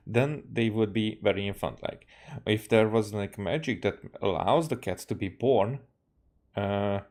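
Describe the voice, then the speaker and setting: A man with a Hungarian accent talks very slowly in a fairly low voice. He is streaming a game, thinking aloud in a halting, casual, musing way. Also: a little mellow. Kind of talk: casual rambling live stream commentary.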